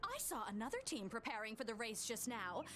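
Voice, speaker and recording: A woman's voice: fairly high pitch, very animated, a little fast, sharp tone, slight background noise. A woman playing a peppy, rousing young team leader in a video game. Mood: cheerful. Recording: music playing behind the voice.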